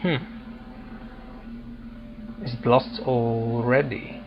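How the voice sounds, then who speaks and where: A man doing casual game commentary, thinking aloud in halting fragments as he plays. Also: mid-range voice, steady tone, highs cut off, background noise. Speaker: gaming video host playing and commenting.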